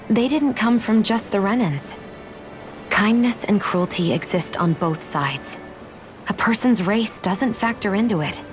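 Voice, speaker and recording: A woman acting a guarded young woman with a softening, sincere side in a video game cutscene, speaking in a measured, reflective, earnest way. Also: lowish voice.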